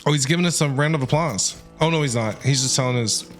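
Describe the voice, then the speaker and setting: A man's voice: mid-range voice, quick pace, soft background music. A man doing casual live gameplay commentary as a streamer, playful and chatty, reacting in short remarks. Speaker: game streamer.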